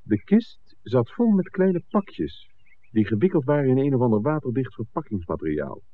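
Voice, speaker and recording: An actor playing an earnest, persistent visitor looking into village secrets in a 1960s Dutch radio drama, polite and articulate. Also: male voice, medium pitch, very animated, normal speed. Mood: earnest, factual. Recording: thin, phone-like old radio recording.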